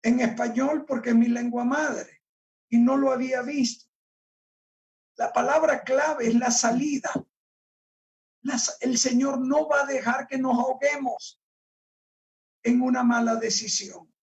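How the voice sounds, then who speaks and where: A male pastor delivers a sermon, reading verses slowly and pressing on each key word. His voice is recorded from a distance in a church, so it sounds a little removed. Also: very high voice, fairly even intonation. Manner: slow, deliberate, emphatic, stresses key words. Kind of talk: sermon, reading and explaining scripture.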